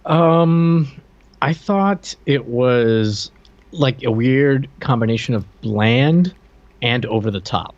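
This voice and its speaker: A slightly muffled, lo-fi recording of a man co-hosting a podcast. His voice sits at a medium pitch, and he chats informally as he reviews old media. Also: expressive, slow. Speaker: podcast co-host. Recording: slightly dull, lo-fi microphone sound.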